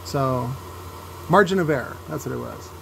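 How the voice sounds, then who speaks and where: A man giving casual, unscripted technical commentary as he demonstrates tests, halting and thinking aloud in an opinionated way. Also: mid-range voice, animated, vocal fry. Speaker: host demonstrating speaker tests. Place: indoor workshop at a cluttered bench. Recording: noisy workshop with background hum.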